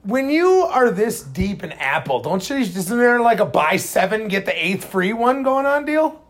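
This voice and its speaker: A man with a booming voice in a casual chat as a guest, sardonic and argumentative, picked up by a phone in a garage. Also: very animated, moderate pace. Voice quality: booming, projecting voice. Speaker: podcast guest. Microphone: handheld phone, often held away.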